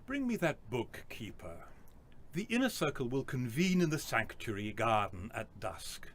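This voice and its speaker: Male actor for chief of a sacred order in a radio play: authoritative, persuasive, formal, extremely animated intonation, mid-range voice. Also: medium pitch, unhurried. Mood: smoothly commanding. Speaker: actor in student radio drama. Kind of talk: dramatic radio drama acting.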